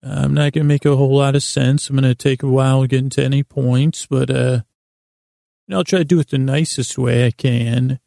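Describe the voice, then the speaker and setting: A man hosting a bedtime podcast in a gravelly, creaky voice, rambling in a halting, meandering, gently silly way and often interrupting himself. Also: mid-range voice, fairly even intonation, no room sound, studio quality. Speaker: podcast host.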